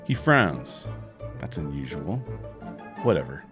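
A man in his forties reading screenplay action lines in a measured, wry way into a studio microphone in a home podcast studio. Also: very deep voice, big pitch swings, over music. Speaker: host and narrator. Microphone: studio microphone with headphones.

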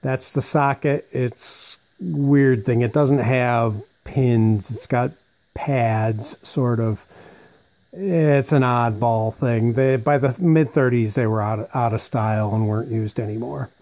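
Mellow-voiced man rambling through a casual demonstration. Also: medium pitch, slow. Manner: rambling, conversational, thinking aloud. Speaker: presenter showing vintage military radio equipment. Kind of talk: casual unscripted show-and-tell narration.

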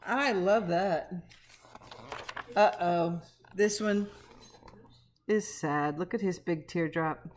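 A middle-aged woman, a teacher, doing an expressive, interactive picture-book read-aloud with chatty asides to the children. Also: on the low side, slow pace, pauses often, slight background noise. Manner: expressive, dramatic when reading, conversational asides to the children. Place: small living room at home, indoors. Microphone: webcam or laptop at arm's length.

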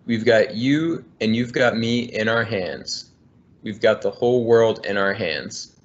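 Um homem de uns trinta anos, engenheiro ambiental, lendo um livro infantil em voz alta, de forma amigável e cadenciada, numa chamada de vídeo. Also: male voice, lowish voice, unhurried. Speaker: environmental engineer. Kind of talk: reading a children's book aloud. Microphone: laptop webcam on video call. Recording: muffled video call audio.

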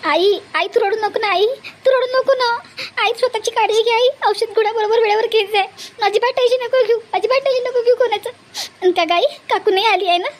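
A woman plays a young cartoon bride with a very high, childlike pitched-up voice. She pleads tearfully and fretfully in a Varhadi Marathi accent, repeating herself. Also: brisk. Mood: tearful, anxious. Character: tearful young bride leaving her mother. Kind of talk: homemade cartoon family drama.

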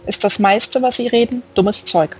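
A woman's voice: mid-range voice, moderate pace, a little mellow, breathy edge, natural voice. Curious village woman, read by a woman, muffled. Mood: amused, frank.

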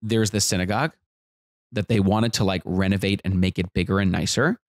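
A man in his thirties, a comedian guest, telling animated jokey stories on a podcast couch into a close boom mic. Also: a little fast, no room sound. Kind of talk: casual storytelling chat. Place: living room studio with couch.

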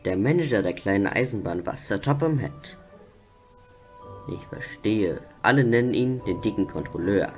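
A man's voice: fairly low pitch, expressive, brisk, hardly any pauses, a little mellow, faint music behind. A man narrating a scripted children's story.

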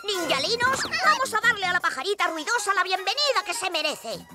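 A woman's voice: extremely high pitch, big pitch swings, brisk. A woman voicing a cocky, mocking boy ninja villain in a cartoon dub, taunting and bragging, with a Castilian Spanish accent. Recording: music behind the voice.

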